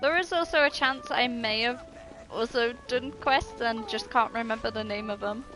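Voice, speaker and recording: A woman's voice: a little high, moderate pace, music in the background. A woman chatting casually and informally as she streams her gameplay, swearing freely. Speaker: game streamer. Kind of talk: casual live gameplay chat.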